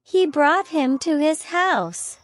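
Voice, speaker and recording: A woman's voice: high-pitched, very animated, takes it slowly, dry recording. A woman storyteller reading a scripted children's fable as narration.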